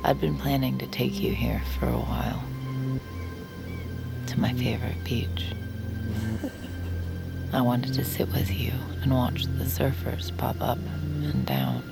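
Lovelorn woman, slow breathy murmur, super gravelly, loud music under it. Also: a bass voice, very animated, full character voice. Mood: wistful, tender. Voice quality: breathy, half-whispered. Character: wistful, lovelorn woman daydreaming of her beloved. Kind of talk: intimate audio drama monologue.

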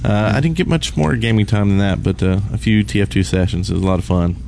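A man's voice: fairly low pitch, quick pace, gravelly, darkish tone. A man co-hosting a gaming podcast, telling casual anecdotes on a convention floor. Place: busy convention hall floor. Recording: noisy convention floor ambience. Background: convention floor background noise.

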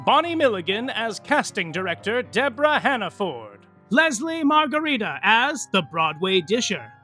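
A man delivers comic scripted narration like a grandiose announcer. His voice is bright and swings widely in pitch. Music plays faintly behind him as he reads with theatrical flair. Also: very animated, normal speed.

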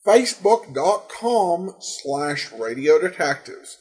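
A man hosting a podcast, giving a relaxed, chatty introduction with many fillers. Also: fairly high pitch, takes it slowly. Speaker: podcast host.

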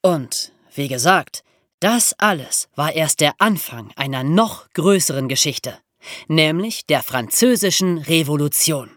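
A woman's voice: sits low, no echo, studio quality. A woman co-hosting a podcast, explaining history conversationally for young listeners. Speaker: podcast co-host.

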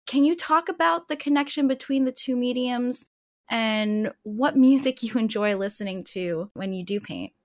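Female interviewer, warm affirmations, clear questions. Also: on the high side, moderate pace. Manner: friendly, affirming, leads into questions. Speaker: interviewer, host.

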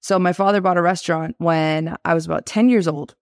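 A young woman from Albania, raised in Boston, chatting energetically as an interview guest, quick to laugh. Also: on the low side, steady tone, dry recording, studio quality. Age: 20s. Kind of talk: casual interview talk.

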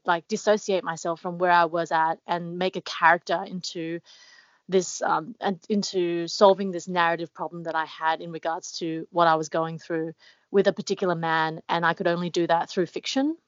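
A woman's voice: lowish voice, little pitch movement, moderate pace, dry recording, dull audio. A woman guest being interviewed, talking reflectively with many fillers and restarts. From Australia. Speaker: author guest. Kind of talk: casual interview talk.

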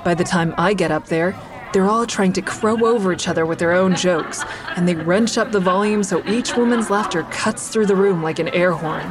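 A woman's voice: on the low side, moderate pace, crowd noise, close to an everyday voice. An actress playing a wry stand-up comedian who narrates her own story conversationally in an audio drama. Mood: wry, reflective.

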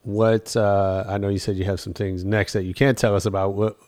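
A man's voice: on the low side, flat delivery, a little fast, darkish tone, no echo, studio quality. A man hosting an interview, friendly and encouraging, asking long meandering questions. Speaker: interview host. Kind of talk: casual interview talk.

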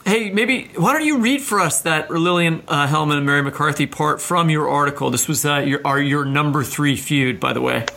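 A man's voice: a little high, moderate pace, vocal fry, hardly any pauses, pushed and bright, slight background noise. A man hosting a podcast interview in a casual, chatty style. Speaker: podcast host.

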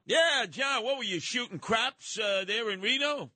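Bright, forceful New York radio host. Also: male voice, very high-pitched, lively intonation, measured pace, no room sound. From New York City. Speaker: radio talk show host. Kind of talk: live call-in talk radio.

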